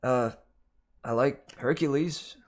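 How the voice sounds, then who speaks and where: Earnest boy hero for a storybook, played by a reader: hesitant, plain-spoken, unhurried. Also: medium pitch, close to an everyday voice.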